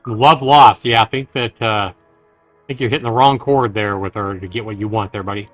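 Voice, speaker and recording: A man's voice: on the low side, moderate pace, fairly bright tone, no echo. A man hosting a game livestream, reading the story text aloud and adding earnest, casual commentary straight to his listeners. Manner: earnest, talking directly to listeners. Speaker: livestream host playing a visual novel. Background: faint game music.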